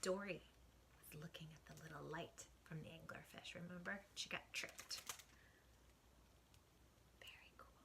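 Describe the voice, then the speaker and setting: A woman hosting storytime, reading a picture book aloud to children with animated, dramatic emphasis and hushed asides. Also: low voice, unhurried, gravelly, pauses often, in a whisper.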